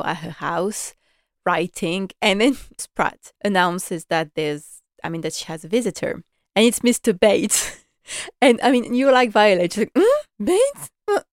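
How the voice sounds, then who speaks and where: A woman's voice: lowish voice, no echo, clean studio sound. A young woman chatting through a casual episode recap, enthusiastic and full of "like" and "you know" fillers. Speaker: podcast host recapping a TV drama.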